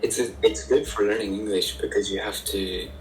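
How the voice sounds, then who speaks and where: A man from Northern Ireland with a softened Northern Irish accent, chatting casually and patiently explaining things over a video call. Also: fairly low pitch, fairly even intonation, normal speed, echoey room, slight background noise, poor audio. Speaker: stranger on a chat site. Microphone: online video call.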